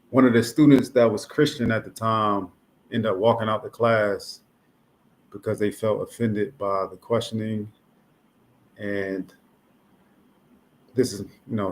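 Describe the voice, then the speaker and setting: A thoughtful man in his thirties from North New Jersey talks through his ideas in a steady, reflective monologue. His voice sits low and stays fairly even in pitch, with the occasional filler as he gathers his thoughts. A faint hum of noise lies underneath. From North New Jersey, USA. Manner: measured, thoughtful, musing aloud with fillers. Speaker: artist explaining his own concept.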